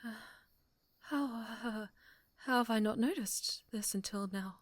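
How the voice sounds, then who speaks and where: Slow, menacing obsessive superhero woman, acted by a woman. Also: on the high side, no echo, natural voice. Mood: eerie wonder. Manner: halting, breathy whispers, menacing sweetness. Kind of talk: dramatic ASMR roleplay.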